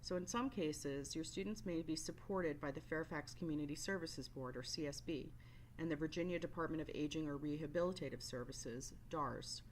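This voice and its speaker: A woman's voice: deep voice, moderate pace, a little hiss or hum, rough recording. A woman teacher giving a scripted, explanatory training presentation as a voice-over. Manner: instructional, explanatory. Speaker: work-based learning resource teacher.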